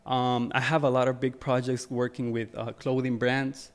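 A young man from Ecuador speaks as a podcast guest in a relaxed, easygoing way. His voice sits at an ordinary mid pitch, and he fills his sentences with "like" and "you know" and restarts often. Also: moderate pace. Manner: relaxed, many fillers and repeats. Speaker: guest photographer. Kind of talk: casual podcast interview.

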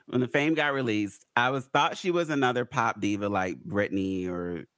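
A man co-hosting a casual pop culture chat, talking in an animated, rambling way. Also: medium pitch, dry recording, clean studio sound. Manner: animated, rambling, quick to rant. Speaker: podcast co-host.

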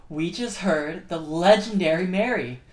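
An awestruck female superfan played by an actress phones a radio station in a comedy audio drama. Her voice is on the low side and echoey, gushing with reverence. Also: unhurried, lightly acted.

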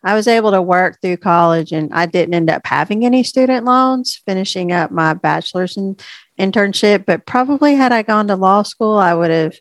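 A woman's voice: medium pitch, dry recording. Stuffy-nosed woman podcast co-host, chatty. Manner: relaxed, chatty, drawn-out fillers. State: a little stuffy. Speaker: podcast co-host, registered dietitian. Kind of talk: casual chat.

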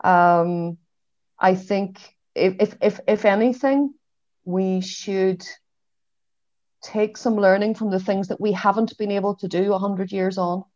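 A woman with a Derry, Northern Irish accent speaking as a historian on a panel, in a reflective, measured and hesitant way. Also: mid-range voice, fairly even intonation, darkish tone, no room sound. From Derry, Northern Ireland. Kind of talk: panel discussion. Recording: dull, lo-fi online audio.

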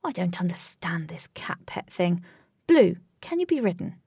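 A woman puts on a grumpy male companion from a storybook. He is curt, formal and drily sarcastic. The tone is dark and husky, with creak at the edges. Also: medium pitch, lively intonation, moderate pace, no room sound, clean studio sound. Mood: impatient. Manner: curt, disdainful, formal wording. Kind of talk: storybook character voice.

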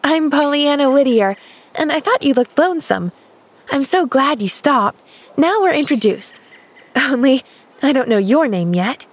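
Storybook girl, acted by a woman: young, friendly, chatty and eager, raised pitch. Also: animated, unhurried. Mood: cheerful, eager. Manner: bright, eager, chirpy. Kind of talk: storybook character voice.

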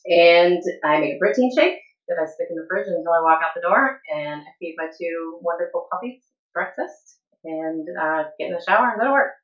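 A woman guest talking candidly in an interview, laughing often and pausing with "um" between thoughts. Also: on the low side, animated, faint music behind. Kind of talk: casual interview talk. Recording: echoey room, dull lo-fi sound.

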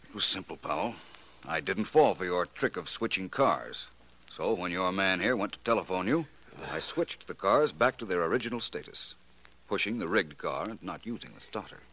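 A man acting in a 1940s radio drama as a calm, shrewd detective, measured and matter-of-fact. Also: low voice, heavily acted, low-quality recording. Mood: coolly explaining.

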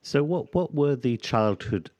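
A man interviewing an actor, teasing him gently and restarting his questions often. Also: mid-range voice, animated, unhurried. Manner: teasing, hesitant with many restarts. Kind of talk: casual interview conversation.

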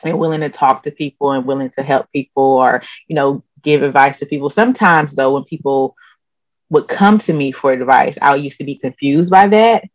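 A woman therapist with a low-register, soothing voice, answering interview questions calmly and openly. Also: normal speed, no echo. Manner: calm, open, laughs often. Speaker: therapist guest. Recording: dull lo-fi dry sound.

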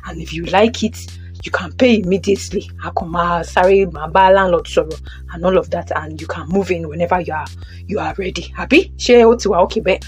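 A woman's voice: fairly low pitch, fast talker, soft background music, close to an everyday voice. A woman plays a Nigerian housing agent, a businesslike man in an audio drama. He is pushy and persuasive, starting sentences with small hesitations. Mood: persuasive, pressing. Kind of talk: audio drama acting, Yoruba-English phone conversation.

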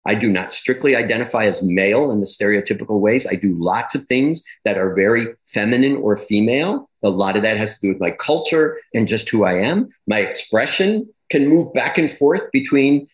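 Expressive man explaining with rising animation. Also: normal speed, few pauses. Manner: expressive and animated, rising in pitch as he builds his points; reflective, with repeated phrases and restarts. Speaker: guest, clinician and educator on gender. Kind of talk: conversational interview answer.

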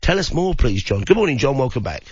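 A man hosting a late-night radio talk show, croaky from a cold, chatting and putting questions to his guest. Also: mid-range voice, sing-song, quick pace, darkish tone, no echo, highs cut off. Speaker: radio host.

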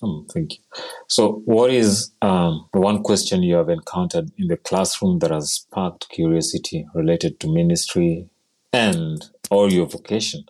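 A man hosting a seminary podcast interview, asking short, halting questions. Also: low-pitched, a little mellow, low-quality recording. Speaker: podcast host.

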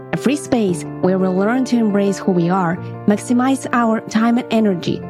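A woman from Ukraine with a Ukrainian accent, hosting a podcast and earnestly telling a first-person travel story. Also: medium pitch. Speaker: podcast host.

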